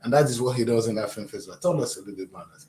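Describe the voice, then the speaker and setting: A man hosting a TV talk show, animated, with quick interjections and affirmations. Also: lowish voice, echoey room. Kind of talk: conversational TV interview.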